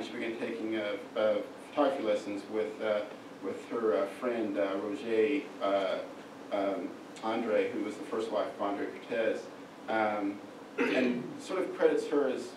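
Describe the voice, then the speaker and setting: Oklahoma man giving a halting slide talk, mic far off in a roomy hall. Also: lowish voice, steady tone. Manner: halting, many fillers and restarts. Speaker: lecturer. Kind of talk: slide lecture. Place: darkened lecture hall. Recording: echoey room from far off, noisy.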